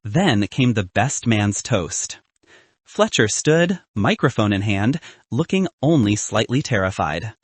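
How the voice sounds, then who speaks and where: Husky male storyteller, lively pitch. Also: takes it slowly, no echo. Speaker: audiobook narrator. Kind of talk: scripted first-person romance story narration.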